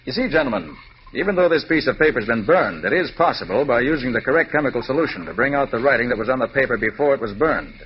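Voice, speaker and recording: An actor playing a clever, confident master detective with an assured, authoritative manner in an old radio drama. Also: male voice, mid-range voice, animated, fast, natural voice. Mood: measured suspense. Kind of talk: 1940s radio crime drama acting. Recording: muffled, faintly noisy old radio recording.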